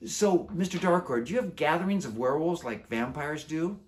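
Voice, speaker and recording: Man voicing an earnest, high-pitched curious schoolboy. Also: a little fast, a little hiss or hum, close to an everyday voice. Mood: persistent, curious. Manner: questioning, hesitant, polite. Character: curious, earnest middle-school boy werewolf. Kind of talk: children's book character voice.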